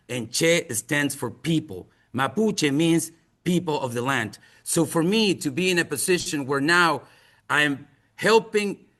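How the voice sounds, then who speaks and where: A man from Chile with a Chilean accent, giving a presentation in English as a non-native speaker in an earnest, emphatic and deliberate manner. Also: on the high side, bright, forceful tone. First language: Spanish. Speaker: museum outreach presenter. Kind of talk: presentation to an audience with slides.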